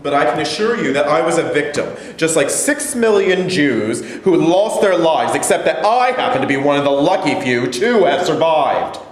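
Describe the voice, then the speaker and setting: Man acting elderly accused survivor, indignant and evasive, widely swinging pitch, staged reading, echoey room. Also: lively intonation, moderate pace, few pauses, natural voice. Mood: indignant, defensive. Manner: defensive, evasive, protesting. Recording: echoey room, light noise, distant pickup.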